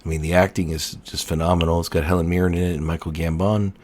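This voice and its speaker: A man hosting a podcast in a relaxed, rambling chat, his baritone voice a little hoarse. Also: fast talker. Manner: laid-back, filler-heavy rambling. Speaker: podcast host.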